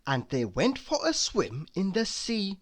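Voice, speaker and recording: A woman reading a children's story aloud, deliberately and with dramatic emphasis. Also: medium pitch, big pitch swings. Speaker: storybook reader. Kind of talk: expressive children's read-aloud narration.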